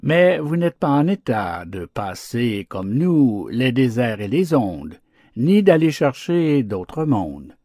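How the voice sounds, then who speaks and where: A man's voice: mid-range voice, big pitch swings, takes it slowly, dark tone, dry recording, clean studio sound, exaggerated voice. A man voicing a wise female swallow in a storybook fable, earnest and cautioning. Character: wise female swallow warning heedless little birds.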